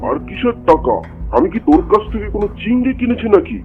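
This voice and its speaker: Tiger landlord, male actor, domineering, fairly high gravelly voice, blustery, dull lo-fi sound, music behind. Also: expressive, fast talker. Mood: threatening, angry. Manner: blustering, swooping, bossy. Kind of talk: Bengali cartoon character dialogue.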